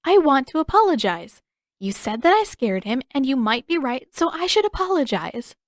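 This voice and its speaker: A woman's voice: high voice, sing-song, normal speed, no room sound, clean studio sound, voice pushed up. A woman voicing a stubborn, sly teenage girl in an audiobook story, emphatic and exclaiming. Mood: indignant. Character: indignant teenage girl, stubborn, slyly cheerful schemer.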